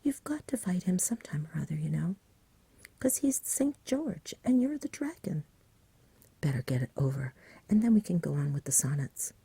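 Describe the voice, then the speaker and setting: A woman's voice: sits low, big pitch swings, moderate pace, vocal fry, mellow, slightly rough, dry recording, exaggerated voice. A woman voicing an eager, cheeky, pleading village boy in a whispered ASMR storybook reading. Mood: imploring. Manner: urgent, cheeky, imploring.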